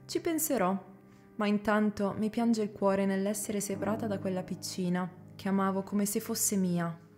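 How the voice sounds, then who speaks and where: A woman's voice: medium pitch, moderate pace, darkish tone, slight background noise, natural voice. A woman acting a devoted female servant who tells her story earnestly, as radio drama. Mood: sorrowful. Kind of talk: dramatic first-person narration and dialogue. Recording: guitar music behind the voice.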